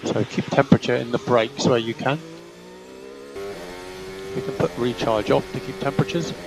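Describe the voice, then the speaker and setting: A man's voice: medium pitch, moderate pace, vocal fry. A man working as a race engineer, giving clipped, procedural instructions over team radio and often repeating them. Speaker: Formula One race engineer. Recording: team radio with loud vehicle noise.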